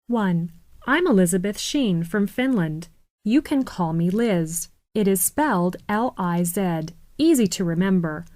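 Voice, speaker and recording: A woman reading scripted English textbook dialogues deliberately, spelling out words letter by letter. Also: mid-range voice, animated, darkish tone, dry recording, natural voice. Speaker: voice actor reading English listening exercises.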